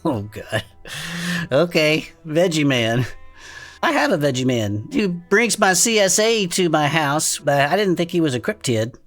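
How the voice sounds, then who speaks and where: A low-voiced, easygoing sidekick in a comedy audio drama about a cryptid club. The delivery swings widely in pitch, casual and wry, supportive with a skeptical streak. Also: female voice, sing-song, measured pace, soft background music. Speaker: podcast co-host. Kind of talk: comedic character banter, partly improvised.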